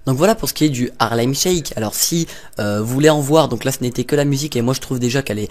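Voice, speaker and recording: A man's voice: mid-range voice, fast, faint music behind. Rambling young man doing game commentary. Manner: rambling, hesitant, jokey with frequent fillers. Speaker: gaming YouTuber. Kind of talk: casual gameplay commentary.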